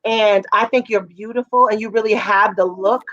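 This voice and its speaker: A woman with a raspy voice giving casual, effusive feedback as a judge at a music showcase. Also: medium pitch, steady tone, moderate pace, fairly bright tone, dry recording, muffled recording. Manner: effusive, chatty, full of fillers.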